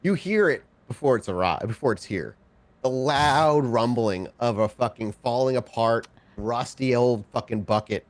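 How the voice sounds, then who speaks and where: Man narrating a game, mid-pitched. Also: moderate pace. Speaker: game storyteller. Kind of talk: casual tabletop game narration.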